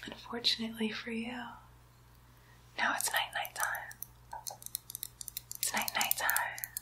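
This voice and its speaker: A woman's voice: fairly high pitch, completely flat delivery, bright, forceful tone. A woman voicing a mischievous young demon girl posing as a nurse, whispering coaxingly and teasingly in an ASMR roleplay. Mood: gleeful, mocking. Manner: slow, coaxing, teasing whisper with playful baby talk.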